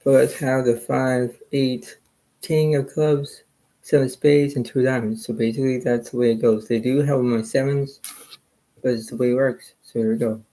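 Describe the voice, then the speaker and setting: A soft-spoken man, a little hoarse, hosting a card game and rambling in repeated filler phrases. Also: mid-range voice, steady tone, normal speed, slight background noise, low-quality recording. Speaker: channel host playing cards. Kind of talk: casual rambling talk to camera.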